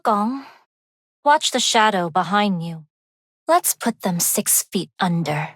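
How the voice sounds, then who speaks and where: Game role: refined young female underworld heiress, composed, formal, quietly dangerous; mid-range pitch, close dry sound. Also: expressive, takes it slowly, dry recording. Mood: cool, resolute. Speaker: video game voice actor. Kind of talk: scripted game character voice lines.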